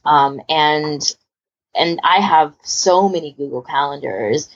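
A woman's voice: deep voice, slow pace. A woman chatting casually as a podcast co-host, in an emphatic, rambling way. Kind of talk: casual chat. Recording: dull lo-fi voice recording.

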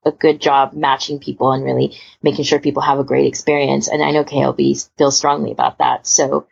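Female podcast co-host, low and husky, conversational and agreeable, slightly dull lo-fi audio. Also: flat delivery, brisk. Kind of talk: casual discussion, reading out listener comments.

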